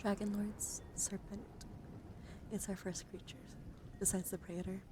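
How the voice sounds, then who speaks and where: A woman livestream host speaking softly and gently in an ASMR style, often slipping into whispers, close to the microphone. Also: mid-range voice, level tone, unhurried. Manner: frequently drops into whispers. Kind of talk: soft-spoken ASMR card reading and casual stream chat. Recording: clear background ambient noise.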